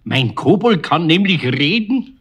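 A man's voice: fairly high pitch, sing-song, unhurried, fairly bright tone, husky, exaggerated voice. A man playing a good-natured, bemused older master craftsman with a Bavarian accent in a children's radio play. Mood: puzzled, amused.

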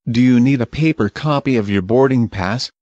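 A man reads short English travel phrases in a measured, plain voice, one at a time for learners. His pitch is ordinary for a man. The audio is dry and a little dull, as if the highs were trimmed. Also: darkish tone, dry recording. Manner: measured, plain, each phrase read alone. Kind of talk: slow clear phrase reading for learners.

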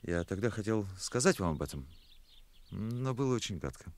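An actor playing an awkward, fastidious, earnest young musician in a radio play, hesitant and polite. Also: male voice, on the low side, lively intonation, darkish tone. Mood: disgusted. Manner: hesitant, polite, easily indignant.

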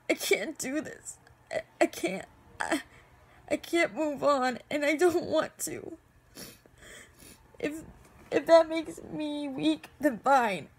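A woman acting an anguished, lovestruck young heroine in amateur supernatural-drama monologues, with emotional, halting delivery. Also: on the high side, husky.

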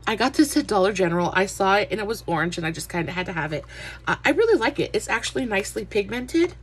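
A woman's voice: medium pitch, big pitch swings, fast, husky. A young adult woman chatting through a makeup palette review, a bit nasal and stuffy from allergies, with lots of filler words. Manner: chatty, many "uh" fillers, friendly greetings. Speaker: beauty vlogger.

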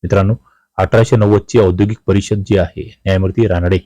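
A man's voice: low-pitched, steady tone, fast talker, dark tone, dry recording, highs cut off. Man teaching, close dry sound. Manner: didactic, poses questions then answers them. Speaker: exam coaching teacher. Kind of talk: exam question walkthrough, explaining answers.

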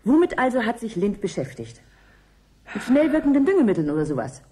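Sceptical young woman, mellow voice. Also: a little high, big pitch swings. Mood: probing. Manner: sardonic, brisk, demanding. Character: sharp-witted, sceptical young female student driving deliveries. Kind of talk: radio play dialogue.

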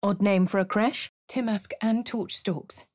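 An actress voicing a posh English woman adventurer in a video game, speaking crisply with a dry, self-assured manner. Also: medium pitch, steady tone, unhurried, no room sound, natural voice. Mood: wry. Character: posh young woman adventurer, cool and wry. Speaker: player character. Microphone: close studio mic.

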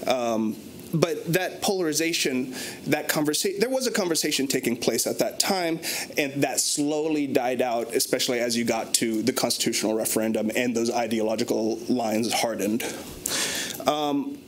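A man's voice: mid-range voice, normal speed, slight background noise. A man in his thirties speaking as a panelist at a conference. He talks thoughtfully with many restarts and fillers, recorded on a lapel mic in a conference room. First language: English. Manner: thoughtful, gestures a lot, frequent restarts and fillers. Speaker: panelist speaking on a research paper. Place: conference room in front of a banner.

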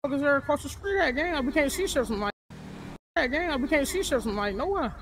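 High-pitched, fast, urgent gamer voice. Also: expressive. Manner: slangy, urgent, profane. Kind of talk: in-character chatter in an online crime roleplay game. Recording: noisy game clip with car sounds.

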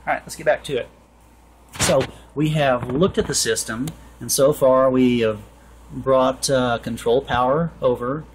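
Guy in his 40s doing a casual how-to, kinda halting, average pitch, bit of noise, camera mic. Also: fairly even intonation, rough recording. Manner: halting, thinking aloud. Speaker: HVAC tutorial presenter. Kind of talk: informal hands-on technical explanation. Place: indoor workshop with metal shelving.

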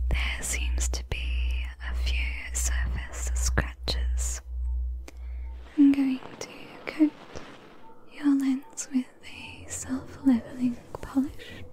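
Woman acting as a caring aussie robot repair nurse, asmr whisper, slow and mellow. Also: sits high, rough recording. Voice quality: soft, breathy whisper. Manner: slow, soothing, reassuring. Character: gentle, caring female robot-repair nurse named Sheila.

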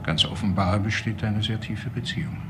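A man's voice: on the low side, moderate pace, lightly acted. A man playing a grave, formal hospital professor in a crime drama, speaking matter-of-factly. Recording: clearly noisy background.

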